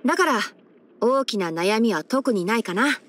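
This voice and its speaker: Game role: clever, gentle young male fox-eared ranger, high boyish voice, measured and softly wry. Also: expressive. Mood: matter-of-fact. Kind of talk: Japanese game character voice-over.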